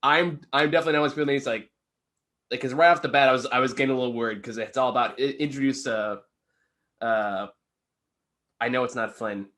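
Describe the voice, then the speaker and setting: A man in a casual, sweary film-review chat, speaking haltingly and self-deprecatingly as co-host. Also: medium pitch, a bit bright.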